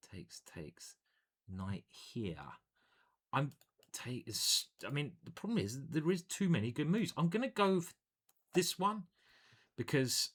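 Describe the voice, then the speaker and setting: A man, a chess grandmaster, casually commentating his own online games in a playful, chatty way. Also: mid-range voice. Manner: playful and chatty, hums and sings little jingles.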